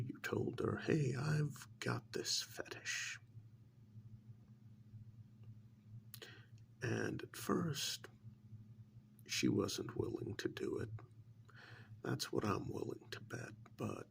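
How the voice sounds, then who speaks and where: A very slow, heavily creaky male voice slips in and out of a whisper, a man acting out a sly, menacing interrogator in an ASMR role-play. He leaves long, insinuating pauses and needles his listener with mock admiration. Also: on the low side, full character voice. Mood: accusing, sly. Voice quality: breathy whisper mixed with gravelly creak.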